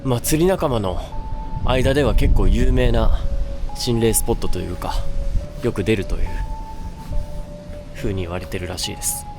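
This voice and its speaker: A man hosting a haunted-spot exploration, talking casually and haltingly as he comments on location outdoors. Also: lowish voice. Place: outdoors by a river floodgate at night. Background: background music.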